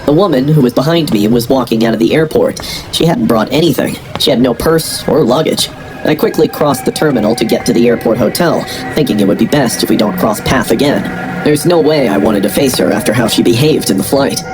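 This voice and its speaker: A man's voice: medium pitch, brisk, low-quality recording. A young adult man reading a scripted first-person horror story as narrator. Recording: music bed under narration. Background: background music.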